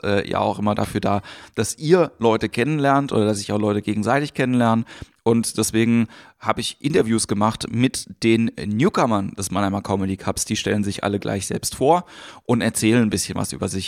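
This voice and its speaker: A man's voice: on the low side, no room sound, clean studio sound. A man hosting a podcast, talking in a chatty way with many fillers. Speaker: podcast host. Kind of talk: podcast intro and interviewing.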